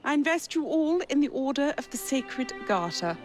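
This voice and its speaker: An actress playing a gracious, teasing elderly queen in a video game cutscene, speaking in a formal, measured way. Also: high-pitched, big pitch swings, faint music behind. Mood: regal.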